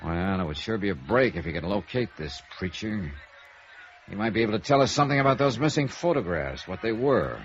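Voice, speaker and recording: A man plays a middle-aged American private investigator from 1950s radio noir, wry and probing. His low voice moves up and down in a lively, conversational way. The old recording is dull, with music behind it. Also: fairly quick, a little hiss or hum, lightly acted.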